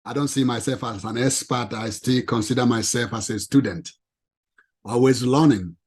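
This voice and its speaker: A man with a non-native English accent giving an animated, emphatic presentation to a professional audience. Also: medium pitch, normal speed, slightly rough. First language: not English. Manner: emphatic, lively, addresses ladies and gentlemen.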